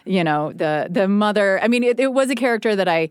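A woman's voice: mid-range voice, lively intonation, a little fast, clear, resonant tone, no room sound. A woman who hosts radio, chatting casually as a guest in a studio interview. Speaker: radio host appearing as guest.

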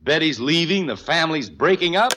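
A man's voice: a little high, expressive, a little fast, lightly acted. An actor playing a fretful, lamenting middle-aged family father in an old radio comedy. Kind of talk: 1940s-50s radio acting. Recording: noisy old radio recording with clicks.

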